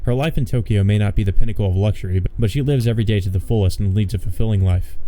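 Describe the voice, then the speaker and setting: A man's voice: fairly low pitch, racing, warm tone, slight background noise. A man narrating a visual novel as a let's player, reading the text and dropping blunt, sardonic casual asides. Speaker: let's play host and reader.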